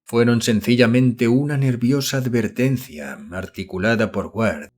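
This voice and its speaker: A man narrates a horror audiobook in a mid-pitched voice. He reads scripted literary prose as a storyteller, in the measured form of a novel read aloud. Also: darkish tone.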